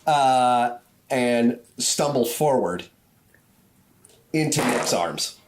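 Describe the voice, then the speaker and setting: A woman's voice: rumbling low, animated, extremely slow, noisy background. A woman running a tabletop game, improvising narration and putting questions to players as game master.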